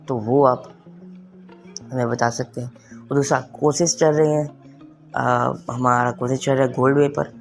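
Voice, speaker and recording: A young man presents a casual tech tutorial. His voice sits at a fairly high pitch for a man, and he slips English words into his speech. Music plays behind him. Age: young adult. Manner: mixes English words and phrases into talk. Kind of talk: casual spoken tutorial for online viewers. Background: background music.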